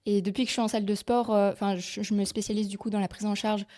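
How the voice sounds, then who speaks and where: A young woman from France, in her twenties, talks about her life as a podcast guest. Her voice sits at an ordinary pitch, and her easy, open delivery is broken by small hesitations. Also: flat delivery, fast talker, darkish tone. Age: 20s. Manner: open and friendly, with frequent filler hesitations. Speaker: guest, physiotherapist. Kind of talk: casual interview chat.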